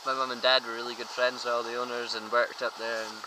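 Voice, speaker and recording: A man's voice: mid-range voice, little pitch movement, quick pace. A young Scottish man in his twenties, chatting casually and easily. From Scotland. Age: 20s. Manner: easygoing, chatty. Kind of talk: casual chat. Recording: distant mic, noticeable background noise.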